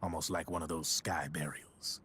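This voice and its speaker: An actor playing a sly middle-aged arms dealer, talking in a wry, husky storytelling voice. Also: male voice, very deep voice, steady tone, moderate pace, bright, forceful tone, heavily acted.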